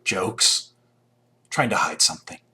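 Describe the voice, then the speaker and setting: An actor playing a stern, distrustful rival in a video game, terse, dry and confrontational. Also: male voice, a little slow, sharp tone. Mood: dry, dismissive.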